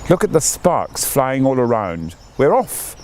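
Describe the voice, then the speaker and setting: An excited little storybook girl, a spirit in disguise, voiced by a man, calls out eagerly. The pitch leaps about in lively swoops, with some background noise. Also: unhurried. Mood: excited, wondering. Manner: eager, exclaiming.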